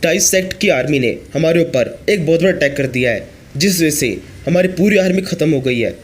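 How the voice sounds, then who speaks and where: A man reading a hoarse wounded soldier. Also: fairly high pitch, expressive, fairly quick. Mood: despairing. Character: wounded male soldier reporting defeat.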